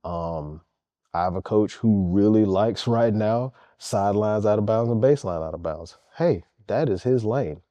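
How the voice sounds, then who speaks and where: Earnest male coach in an interview; low, dark voice, dry close sound. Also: moderate pace, no echo, dull audio. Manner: earnest and emphatic, repeats key points. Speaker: head basketball coach. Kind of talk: interview answers.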